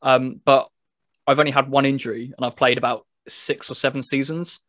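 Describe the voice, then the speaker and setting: Casting: male gaming review host, average pitch, dry recording, informal and meandering delivery, somewhat hesitant. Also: little pitch movement, brisk, a bit bright, no echo. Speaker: video host reviewing a football game. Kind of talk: casual chat.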